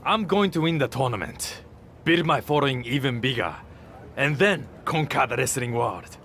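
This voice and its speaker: A man's voice: medium pitch, expressive, moderate pace, fairly bright tone, slight background noise, natural voice. An actor playing a vain, cocky young male wrestler obsessed with social media, smug and dismissive, in a video game cutscene. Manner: smug, dismissive, self-absorbed.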